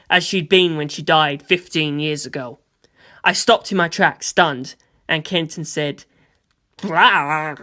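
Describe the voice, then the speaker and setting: Audiobook narrator, bright forceful tone, medium pitch, expressive, conversational, dramatic first-person storytelling. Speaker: audiobook reader.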